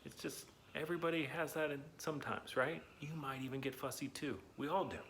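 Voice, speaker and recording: A man hosting a read-aloud lesson for children, talking in an animated, chatty, encouraging way with emphatic words and questions to his listeners. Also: a little high, fairly quick, a little hiss or hum. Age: adult, not young.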